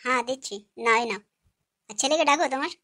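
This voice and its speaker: A woman as a snappy, high-voiced village wife. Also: expressive, fast talker, sharp tone, no room sound, studio quality. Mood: nagging. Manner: snappy, nagging. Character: sharp-tongued village wife, protective mother of grown son. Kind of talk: comic cartoon dubbing.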